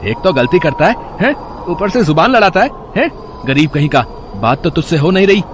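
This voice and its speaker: A man's voice: a little high, very animated, brisk. A man voicing an arrogant rich young college student who sneers and bullies in a moral story. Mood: bullying. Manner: sneering, haughty. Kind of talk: animated moral story dialogue. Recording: faint street noise behind.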